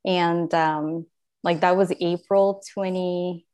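A woman's voice: on the low side, steady tone, slow pace, darkish tone. Chatty woman with a slight Japanese accent. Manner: rambling with many fillers and self-corrections. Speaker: guest. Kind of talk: casual interview conversation.

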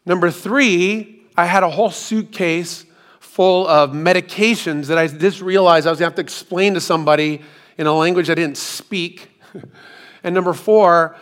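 A middle-aged man preaches with a hoarse, worn voice that is still healing, pitched a little above the usual for men. He tells his story in an easy, self-deprecating way, slipping in asides to the congregation. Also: moderate pace, fairly bright tone. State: hoarse, recovering from lost voice. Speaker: pastor. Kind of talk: sermon. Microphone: hands-free microphone.

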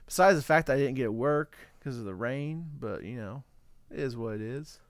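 A man's voice: mid-range voice, lively intonation, normal speed, smooth, dry recording, studio quality. A man in his thirties chatting casually with a friend, slangy and laid-back, laughing often. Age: 30s. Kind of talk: casual chat.

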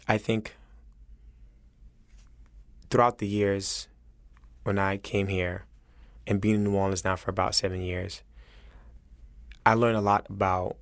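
A man from Senegal with a West African accent speaks earnestly and reflectively in an interview, halting as he searches for his words. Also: lowish voice, no echo. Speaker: chef interviewed as guest.

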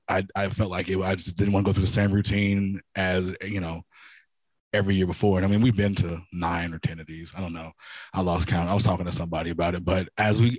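Deep raspy man giving testimony. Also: dry recording. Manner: rambling, many restarts and fillers. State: hoarse from a cold. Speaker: church member giving testimony. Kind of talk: spontaneous testimony to a congregation.

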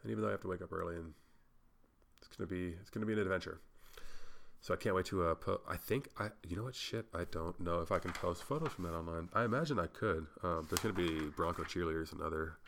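A man's voice: low voice, fast talker, creaky, fairly bright tone. A young man hosting a solo podcast, rambling casually and swearing, sounding a little congested. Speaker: podcast host.

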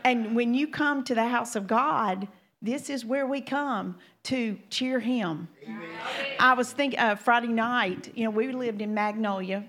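A woman in her sixties from Louisiana, with a Louisiana accent, chatting to a church congregation as a minister's wife, homey and quick to laugh. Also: mid-range voice. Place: church sanctuary.

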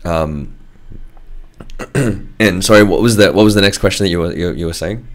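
Husky deep-voiced man with a cold. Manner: halting, many fillers and restarts. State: has a cold. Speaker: podcast guest. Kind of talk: casual podcast chat.

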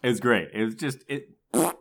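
A man's voice: mid-range voice, animated, fairly quick, vocal fry, husky. A man co-hosting a podcast, chatting casually in a jokey, loose way. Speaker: podcast co-host. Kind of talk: casual bantering podcast chat.

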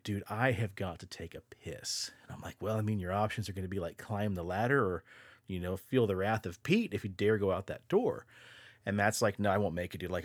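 A young man on a podcast, easygoing and chatty as he spins a story, with a low voice. The sound is dry and close to the mic. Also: dry recording. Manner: laughs often, swears casually, relaxed storyteller. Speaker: podcast co-host. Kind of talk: casual storytelling chat.

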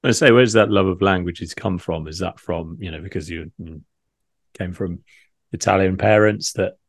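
A man hosting an interview podcast, putting short, direct questions to his guest. His voice sits fairly low. The recording is dry and close. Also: normal speed, no echo. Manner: brief prompting questions. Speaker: podcast host.

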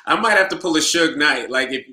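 A man in casual podcast chat, talking in a laid-back, enthusiastic way and filling gaps with ums and restarts. His voice is bright and forceful. The room around him gives it an echoey ring. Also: on the high side, expressive, a little fast. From United States, Nigerian heritage. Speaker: supporter group DJ and show regular.

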